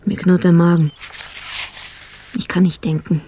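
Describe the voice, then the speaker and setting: Mellow, weary female investigator. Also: fairly low pitch, little pitch movement, measured pace, slightly rough. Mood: tired, tense. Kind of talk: radio drama monologue and dialogue.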